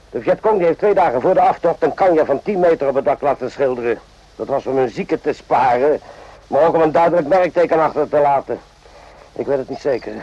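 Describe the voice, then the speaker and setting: A man's voice: medium pitch, dark tone, close to an everyday voice. An actor playing a suspicious, plain-spoken army sergeant in a radio drama, blunt and grumbling yet deferential to his superior. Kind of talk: radio drama acting in Dutch. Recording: dull, muffled old radio recording.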